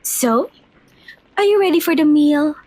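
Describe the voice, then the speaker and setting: Woman acting a high-pitched, bubbly young vampire mistress. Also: lively intonation, a little slow, darkish tone, no echo, poor audio. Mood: playful, friendly. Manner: bubbly, informal, giggly. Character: playful, girlish, hungry young vampire mistress, eager and sweet. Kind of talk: ASMR roleplay acting.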